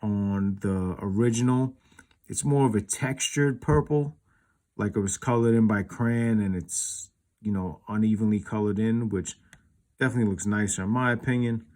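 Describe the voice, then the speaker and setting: Man, lowish voice, casual collector commentary, unscripted, informal, rambling explanations. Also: moderate pace, darkish tone. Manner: informal, rambling, explanatory, peppered with you knows. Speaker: collector and video host.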